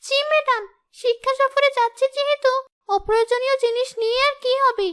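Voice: very high-pitched, fairly even intonation, a little fast, fairly bright tone. A performer voicing a young ghost schoolgirl in a children's cartoon, polite and eager. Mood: earnest.

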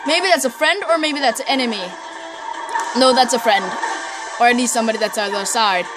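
A woman's voice: fairly high pitch, fairly quick, low-quality recording. Chatty young woman gaming. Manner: chatty, rambling with many ums and laughs. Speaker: gamer playing through a game. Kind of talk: casual gameplay commentary. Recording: talking over loud game music.

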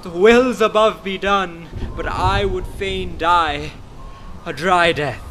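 Man as loyal old counselor, dry-witted, high voice, measured pace, radio drama with faint storm noise. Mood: wry, resigned. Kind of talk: radio play Shakespeare acting.

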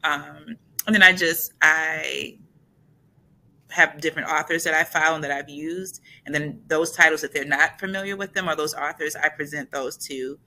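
A woman's voice: low voice, fairly even intonation, normal speed, bright, forceful tone, low-quality recording. A woman teacher on a video call, talking in a conversational way with frequent restarts. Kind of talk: casual panel answer. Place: indoor room. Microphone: webcam on video call.

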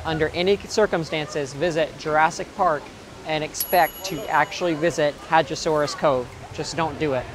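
Young man, hoarse voice, chatty with ums, quick delivery, outdoor park crowd and ride noise. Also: fairly high pitch. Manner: chatty, frequent ums and uhs. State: hoarse, voice cracking. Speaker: theme park vlogger. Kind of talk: casual tips vlog to camera. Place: outdoors in a busy theme park.

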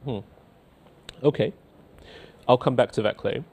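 A man in his thirties with a faint Scottish accent, a cleric in a TV studio reading out and answering viewer letters in a measured, earnest way. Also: mid-range voice, gravelly, darkish tone. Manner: measured, earnest, repeats words when starting sentences. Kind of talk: studio talk show, reading letters aloud. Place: indoor TV studio.

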